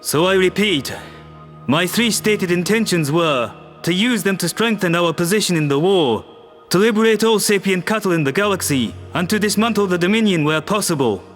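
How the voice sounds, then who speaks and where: A man voicing a calm, firm human world leader who speaks in a measured, persuasive way. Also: high-pitched, soft background music. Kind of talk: audio drama acting.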